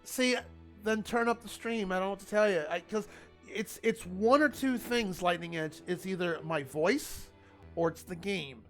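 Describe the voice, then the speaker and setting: Male game streamer, loud natural voice, rambling, fairly high pitch. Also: normal speed, faint music behind. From Italian family. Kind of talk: casual gaming livestream chat.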